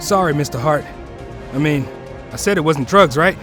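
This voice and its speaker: A man's voice: on the high side, expressive, normal speed, over loud music, natural voice. A man playing a decisive, impatient teenage boy hero in an audio drama, informal and bold.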